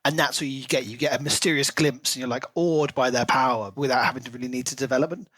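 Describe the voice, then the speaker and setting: A man's voice: medium pitch, fairly even intonation, quick pace, fairly bright tone, no room sound. A man co-hosting a podcast, discussing a book in a casual, informal way. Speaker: podcast co-host.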